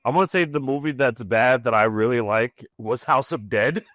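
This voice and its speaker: A man's voice: medium pitch, moderate pace, a bit bright, dry recording. Easygoing man in dry, close podcast chat. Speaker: podcast co-host. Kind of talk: casual panel chat.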